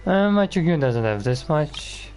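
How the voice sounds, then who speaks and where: A man's voice: fairly high pitch, sing-song, unhurried, darkish tone. A man giving casual, rambling gameplay commentary as he plays. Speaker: gaming video host. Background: video game music.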